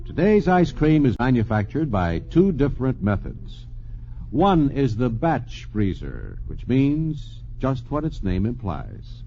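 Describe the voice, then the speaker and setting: A man giving measured, announcer-style scripted narration for an old radio documentary. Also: mid-range voice, huge pitch swings, dark tone, slight background noise. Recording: dull old radio broadcast with music.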